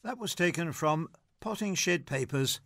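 A man's voice: a little high, animated, measured pace, creaky, fairly bright tone, no echo, clean studio sound. A man presenting scripted material and reading anecdotes aloud.

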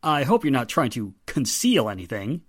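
A man playing a well-spoken, faintly condescending gentleman in a radio drama. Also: mid-range voice, sing-song, moderate pace. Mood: questioning, brisk.

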